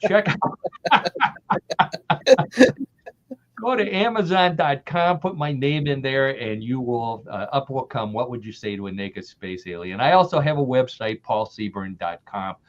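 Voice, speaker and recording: A man's voice: mid-range voice, a little slow. An older man from the American Midwest, chatting as a podcast guest, laughing often and repeating himself as he talks. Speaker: guest author. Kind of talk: casual podcast interview. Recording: dull lo-fi audio.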